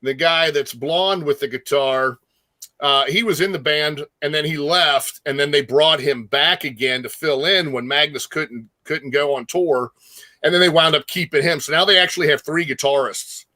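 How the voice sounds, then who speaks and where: Enthusiastic man with a bright, forceful voice. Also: a little high, dry recording. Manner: enthusiastic, halting, slangy. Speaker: guest co-host, metal fan. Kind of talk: casual music chat.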